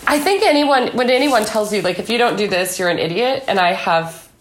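A woman's voice: medium pitch, expressive, fairly quick. A woman guest giving her opinions in a casual political discussion, conversational and often acting out what other people say. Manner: conversational, opinionated, quotes others in mock dialogue. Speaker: guest author and commentator. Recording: echoey room.

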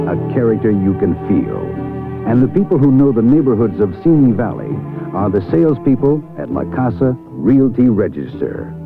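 Low-voiced male radio ad announcer. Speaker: commercial announcer. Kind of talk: scripted radio advertisement narration. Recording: muffled 1970s radio, music behind.

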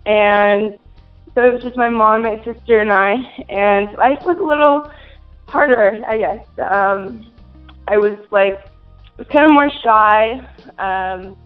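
Slow-talking young woman, hesitant. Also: mid-range voice, fairly even intonation, phone-quality sound, soft background music. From Minnesota. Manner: hesitant, many fillers, giggly. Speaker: interview guest. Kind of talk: casual interview answers.

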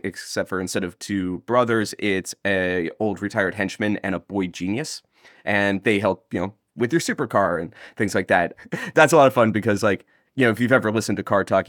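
Male interview guest, low voice, animated and jokey conversational delivery, dry close sound. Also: no room sound. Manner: animated, joking, chatty with trailing asides. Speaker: audio drama writer and creator, interview guest. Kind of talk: casual conversational interview chat. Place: living room at home, on a video call.

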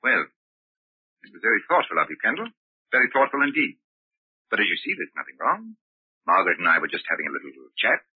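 A man's voice: lowish voice, huge pitch swings, a little fast, pauses often, dry recording, heavily acted. Bitter, menacing old man, muffled radio. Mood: mock-polite menace. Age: older. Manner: smooth-worded, mock-polite, commanding. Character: bitter, cruel old blackmailing father, coldly menacing. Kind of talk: old-time radio drama acting. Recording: muffled vintage radio recording.

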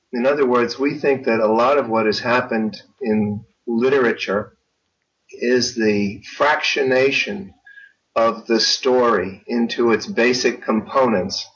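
A slow-speaking man with a mid-range voice, heard through slightly muffled, lo-fi sound. He talks as an author being interviewed, reflective, formal and erudite in his phrasing. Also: medium pitch, fairly bright tone. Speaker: guest author. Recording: dull lo-fi call sound.

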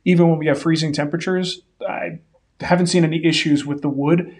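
A man in his forties co-hosting a live woodworking Q&A, giving practical advice in a relaxed, folksy way. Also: on the high side, fairly quick. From Denver area. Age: 40s. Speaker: woodworking show co-host. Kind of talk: live-stream Q&A, casual chat.

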